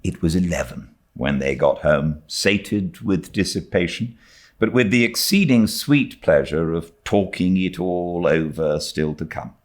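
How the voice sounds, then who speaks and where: A British male audiobook narrator with a low voice. He reads literary prose at an unhurried pace, with lively, sweeping intonation that shapes each phrase. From Britain. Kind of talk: expressive literary story narration.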